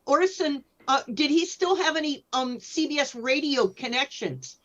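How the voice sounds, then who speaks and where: A woman's voice: sits high, moderate pace, a bit bright. A woman on a discussion panel, asking questions in a conversational way. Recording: dull lo-fi audio.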